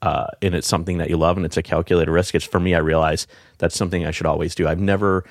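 A middle-aged man with a deep voice, speaking in a reflective, halting way with many fillers and restarts, as a guest in a casual heartfelt conversation. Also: little pitch movement, dry recording, clean studio sound. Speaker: podcast guest.

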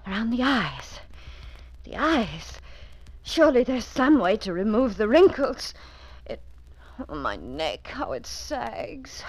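Melodramatic, vain older widow, sighing and imperious; mid pitch, radio-play delivery. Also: female voice, very animated, slow pace, long pauses, no echo, poor audio. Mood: despairing. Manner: theatrical, breathy sighs, swings between despair and command. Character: vain aging Parisian widow, melodramatic, grieving her lost beauty. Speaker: lead actress.

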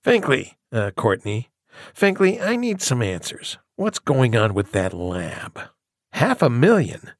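A gravelly, animated voice in a dry booth: a gruff male executive in a campy B-movie audio play. He is skeptical, blunt and grumbling. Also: medium pitch, slow, no room sound, heavily acted.

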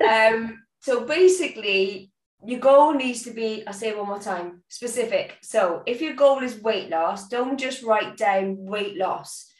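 A woman's voice: a little high, expressive, slow, recorded from a distance. A woman co-hosting a podcast, chatting casually with giggles and fillers. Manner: giggly, rambling with lots of fillers. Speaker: podcast co-host. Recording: echoey, a bit off-mic.

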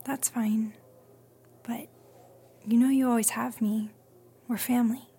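A woman playing a caring, soft-spoken and hesitant young companion in a radio drama. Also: on the high side, steady tone, measured pace, husky, full character voice. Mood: gentle concern.

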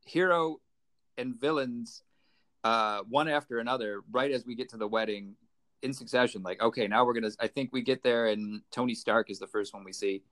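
A man's voice: medium pitch, moderate pace, fairly bright tone. Casual man chatting as podcast co-host. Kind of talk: casual comic book review chat.

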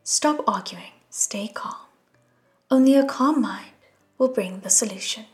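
A woman voicing a gentle young male god who speaks in a soothing tone. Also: a little high, animated, a little slow, reverberant, faint music behind.

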